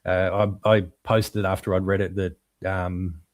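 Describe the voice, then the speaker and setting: A male podcast co-host chatting casually about comics, halting and full of ums and restarts but enthusiastic. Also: low voice, level tone, no echo, studio quality.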